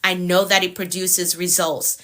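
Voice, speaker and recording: A woman coaching on a live stream, repeating herself encouragingly and pitching her mentorship program. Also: little pitch movement, normal speed, pushed and bright. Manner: repetitive, encouraging, sales pitch. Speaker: productivity coach.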